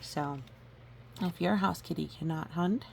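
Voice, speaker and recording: A woman reading a storybook aloud gently and adding educational asides. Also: lowish voice, unhurried, vocal fry. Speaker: storybook reader. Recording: faint background noise.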